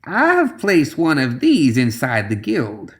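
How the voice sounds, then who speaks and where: A man voicing a scheming swamp witch in a fantasy audiobook, with a slow, drawn-out, portentous delivery. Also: medium pitch, wildly swooping pitch, voice pushed up. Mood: ominous, deliberate. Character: Zoraida, scheming deliberate swamp witch woman.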